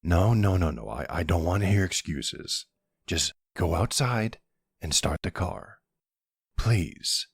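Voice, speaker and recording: A man's voice: expressive, takes it slowly, dry recording, clean studio sound, heavily acted. A man playing a weary, exasperated but courteous mafia boss in an ASMR audio roleplay, with wry, colloquial delivery. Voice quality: deep, smooth, gravelly creak. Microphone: close, dry microphone.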